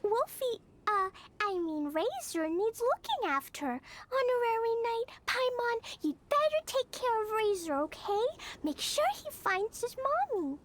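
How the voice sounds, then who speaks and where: A woman's voice: extremely high pitch, very animated, a little slow, hardly any pauses, full character voice. A woman voicing a sweet, eager, innocent little girl in a video game, with childlike, simple phrasing. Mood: excited.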